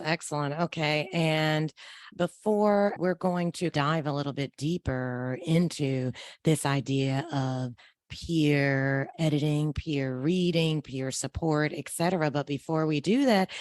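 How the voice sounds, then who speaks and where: A woman's voice: low voice, no echo. Encouraging woman host, dry close sound. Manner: warm, encouraging, affirming. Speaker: podcast host, language teacher. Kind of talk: podcast interview.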